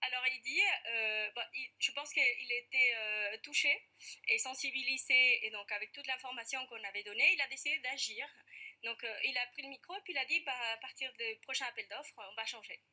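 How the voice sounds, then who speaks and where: A woman's voice: high voice, a little fast, bright, forceful tone. A woman with an Argentine accent, a parent activist answering questions earnestly in a TV studio interview, with frequent hesitations. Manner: earnest, many hesitations with "euh".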